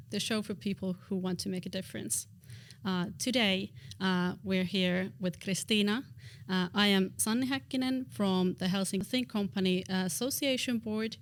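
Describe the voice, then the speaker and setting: A woman's voice: mid-range voice, no room sound. A woman hosting a podcast interview, speaking with many hesitant fillers. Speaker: podcast host.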